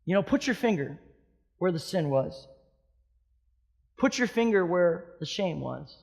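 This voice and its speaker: A man's voice: high voice, expressive, a little slow, vocal fry. Gepassioneerde man in de dertig, preek. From Hawaii. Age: 30s. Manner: impassioned, swinging between loud emphatic peaks and soft hushed stretches. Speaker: pastor. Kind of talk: sermon.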